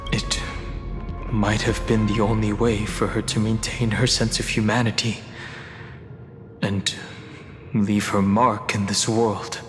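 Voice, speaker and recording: Lowish, reverberant, solemn male scholar. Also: flat delivery. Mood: solemn, reflective. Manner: grave, deliberate, analytical. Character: solemn, composed male scholar explaining ancient fate. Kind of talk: dramatic game story exposition. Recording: long reverb, music behind. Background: music.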